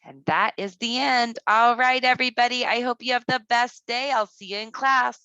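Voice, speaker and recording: A woman teacher reading aloud to kindergartners, speaking deliberately and instructively, with asides addressed directly to the children. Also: on the high side, steady tone, bright, forceful tone, no echo, lo-fi sound.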